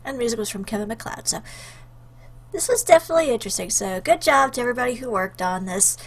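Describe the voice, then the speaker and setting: A woman's voice: fairly high pitch, lively intonation, quick pace. A woman hosting a game playthrough, commenting casually and playfully and reading lines aloud. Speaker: gaming video host.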